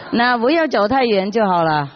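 Woman, spiritual teacher, slow, gentle, laughs often, muffled recording. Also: on the high side, background noise. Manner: gentle, laughs often, switches between languages. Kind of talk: casual chat with children at a gathering.

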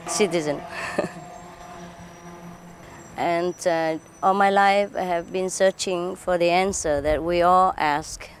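A woman giving interview answers as a spiritual teacher, speaking halting English with many fillers and small laughs. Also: lowish voice, slight background noise. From Vietnam.

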